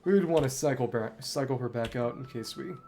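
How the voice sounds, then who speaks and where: A man's voice: medium pitch, animated, fairly quick, warm tone. A man giving casual gameplay commentary as a streamer, thinking aloud and reading game text out as he plays. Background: game music.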